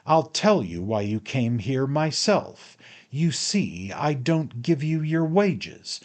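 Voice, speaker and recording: A man voicing a proud, hysterical man who shouts emphatically and insistently at his servant in a dramatic audiobook. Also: lowish voice, expressive, measured pace. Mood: furious, scornful. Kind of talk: dramatic audiobook character acting.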